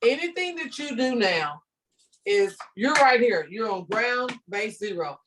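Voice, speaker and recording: An older woman from the southern United States with a Southern accent, a teacher talking to students on a video call in a lively, storytelling and encouraging manner. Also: a little high, unhurried, recorded from a distance, noisy background. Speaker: ESL teacher guest. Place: home room with bookshelves.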